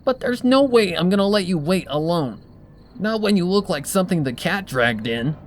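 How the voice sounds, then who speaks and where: A man's voice: high-pitched, lively intonation, moderate pace, voice pushed up. A man voicing a brash, stubborn, loyal young female pony who speaks bluntly and insistently in a storybook reading.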